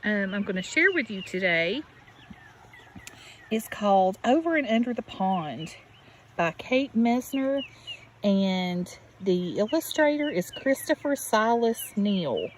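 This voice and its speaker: A woman's voice: mid-range voice, very animated, takes it slowly. A woman reading aloud to young children as a teacher, instructive and addressing them directly. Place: outdoors by a farm pond. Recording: outdoor recording with background nature noise. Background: running creek, animal sounds.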